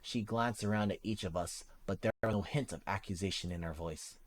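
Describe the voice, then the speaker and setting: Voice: sits low, brisk. A streamer reading a novel aloud live, casual, with slips and restarts. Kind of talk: live-streamed read-along.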